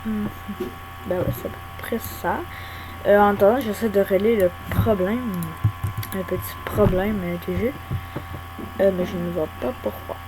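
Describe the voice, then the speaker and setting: A young woman giving casual, hesitant gameplay commentary at her home computer, full of pauses and filler sounds. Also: mid-range voice, very animated. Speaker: gaming YouTuber. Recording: hum and whirring computer fan noise.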